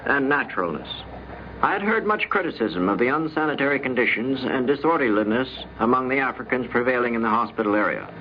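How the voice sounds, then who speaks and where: A man reading a scripted, reflective account aloud in a formal, deliberate manner. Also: medium pitch. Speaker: diplomat giving a recorded account. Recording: dull, noisy vintage radio recording.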